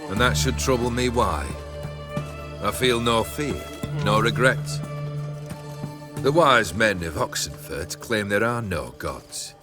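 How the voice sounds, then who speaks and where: An actor playing a fearless, brooding brigand lord in a video game, with grand, archaic, theatrical phrasing. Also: male voice, fairly low pitch, lively intonation, takes it slowly, music in the background. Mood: defiant, probing. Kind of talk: dramatic video game voice acting.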